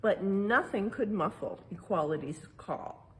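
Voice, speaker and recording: A woman's voice: mid-range voice, animated, a little slow, slight background noise. A woman with an American accent reading a picture book aloud and explaining it simply for young listeners as a museum educator. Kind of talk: read-aloud with explanatory asides.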